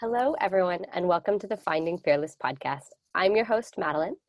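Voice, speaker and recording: A woman's voice: on the low side, expressive, brisk, no room sound, highs cut off. Upbeat woman podcast host. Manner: upbeat, polished. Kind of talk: podcast hosting and interviewing.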